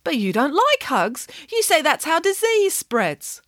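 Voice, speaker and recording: A woman reading a storybook voices a bold young piglet girl in a high, bossy, lecturing voice. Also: very animated, a little slow, fairly bright tone, no room sound, clean studio sound, exaggerated voice.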